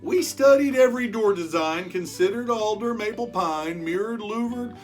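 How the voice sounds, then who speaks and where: A storyteller reading a rhyming picture book aloud to children in an expressive way, stretching words for emphasis and chatting with young listeners. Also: mid-range voice, moderate pace, faint music behind. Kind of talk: animated children's read-aloud of rhyming verse.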